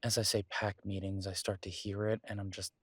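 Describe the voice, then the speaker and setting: A man playing a conflicted, protective werewolf pack leader in an intimate audio roleplay, speaking hesitantly and reflectively with halting pauses. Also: on the low side, level tone, breathy edge, no echo, clean studio sound. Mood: amused.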